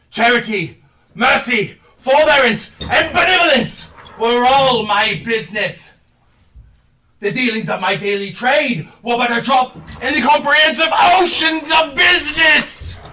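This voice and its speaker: A man's voice: extremely high pitch, heavily acted. A man on stage playing the tormented, mournful and stern ghost of a dead businessman, declaiming theatrically. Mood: anguished, thundering. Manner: slow, declamatory, breaking into anguished shouts and wails. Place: theatre stage, recorded live. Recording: distant live stage play recording.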